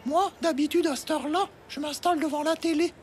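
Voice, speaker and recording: A woman voicing a naive, slow-witted little schoolgirl in a French cartoon, childish and literal-minded. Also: high voice, fairly even intonation, darkish tone, slight background noise, lightly acted. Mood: grumbling.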